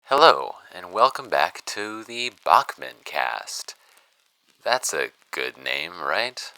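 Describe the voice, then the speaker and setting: Wry young podcast host, American, very deep bright voice, sardonic, conversational, audio drama. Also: male voice, big pitch swings, slow pace, gravelly, no echo, exaggerated voice, low-quality recording. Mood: wry, self-deprecating. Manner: sardonic, self-deprecating, conversational. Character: wry young man podcasting old case files alone. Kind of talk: audio fiction podcast monologue.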